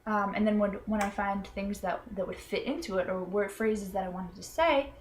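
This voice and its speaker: A woman's voice: mid-range voice, normal speed, a little hiss or hum. Hesitant young woman with many ums. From Florida. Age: young adult. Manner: hesitant, full of ums and restarts; earnest and self-conscious. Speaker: college student. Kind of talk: personal explanatory video.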